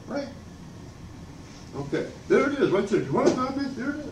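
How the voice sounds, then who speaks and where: Booming, abrasive older man, far off. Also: sing-song, a little fast. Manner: loud and booming, abrasive, rambling and aggrieved. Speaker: member of the public giving comment. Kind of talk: public comment at a meeting. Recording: echoey room from far off, noisy.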